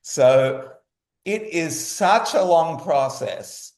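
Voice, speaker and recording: A man from Australia, an interview guest explaining things casually and emphatically, restating himself to be clear. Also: on the high side, expressive, drawn-out words, darkish tone. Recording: roomy echo on the voice.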